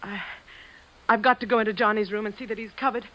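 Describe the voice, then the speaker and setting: A woman's voice: medium pitch, fairly even intonation, fast, a bit bright, a little hiss or hum, natural voice. An American actress playing an anxious, evasive wife and mother, stammering nervously in a 1950s radio drama. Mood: defensive, distressed. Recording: muffled vintage radio recording.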